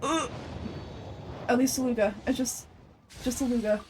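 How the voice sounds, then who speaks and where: A woman's voice: fairly high pitch, fairly even intonation, normal speed, a little mellow, a little hiss or hum. A woman game streamer chatting casually and playfully while she plays, self-deprecating in manner. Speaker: game streamer and amateur voice actor. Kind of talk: casual gameplay chat.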